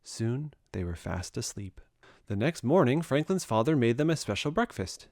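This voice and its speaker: Lively male storybook reader. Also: medium pitch, moderate pace, dry recording, clean studio sound. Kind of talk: expressive children's read-aloud narration.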